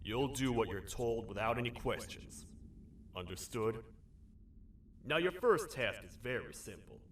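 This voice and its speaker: A man's voice: medium pitch, lively intonation, a little slow, a bit bright, distant mic, slight background noise. An actor playing a stern, bossy lawman monster in a video game, with a commanding, clipped way of questioning. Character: gruff bossy cartoon lawman monster, stern but loyal to queen. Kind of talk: video game character dialogue.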